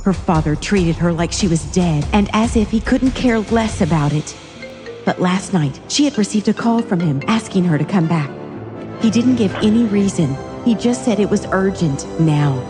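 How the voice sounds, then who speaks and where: A woman's voice: mid-range voice, lively intonation, normal speed, big-room sound, over music. A woman reading scripted narration for a dramatic story.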